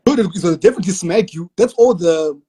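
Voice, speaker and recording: A man's voice: sits high, expressive, fast talker, mellow, slightly rough. A man from South Africa with a South African accent, a guest on a podcast, chatting loosely in laid-back slang with frequent restarts. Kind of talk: casual chat.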